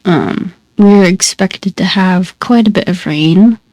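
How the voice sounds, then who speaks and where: A woman chats slowly through a gameplay session, her voice stuffy and a little congested. The tone is soft and mellow, and she rambles in easygoing asides to her viewers. The sound is close and dry. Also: medium pitch, dry recording. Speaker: gaming channel host. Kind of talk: casual gameplay commentary.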